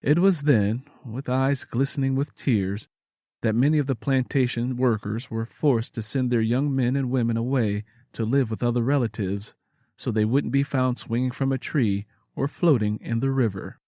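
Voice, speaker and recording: A man's voice: mid-range voice, moderate pace, mellow, no room sound, studio quality. A man narrating a scripted audio story in a storytelling style.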